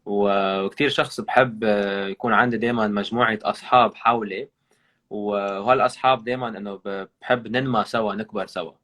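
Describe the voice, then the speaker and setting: A man from Lebanon with a Lebanese accent, a guest talking over a video call, mixing English and Arabic with many pauses. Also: on the low side, steady tone, a bit bright. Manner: switches between English and Arabic mid-sentence; talks with many hesitations and restarts. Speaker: podcast guest. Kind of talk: casual interview over a call.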